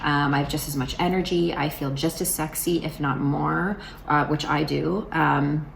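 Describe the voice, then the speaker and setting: A woman's voice: sits low, fairly even intonation, normal speed, creaky, slight background noise. A woman in her forties talking casually to camera in a vlog, chatty and rambling, with lots of fillers and restarts. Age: 40s. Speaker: vlogger.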